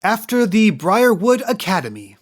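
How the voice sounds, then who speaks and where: Playful podcast game master, mid-pitched voice. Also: very animated, moderate pace. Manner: playful, jokey. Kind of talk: scripted-casual announcement and game narration.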